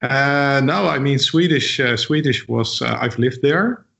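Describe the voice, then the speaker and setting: A man's voice: medium pitch, a little slow, vocal fry, fairly bright tone. A man whose first language is Dutch, speaking English as an interview guest in a casual conversation, hesitant with many fillers and restarts. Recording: dull lo-fi audio.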